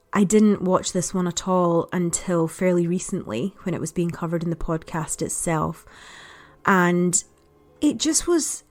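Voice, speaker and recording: A woman's voice: lowish voice, darkish tone. An adult woman giving a casual spoken review as a podcast contributor, chatty, reflective and understated. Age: adult parent.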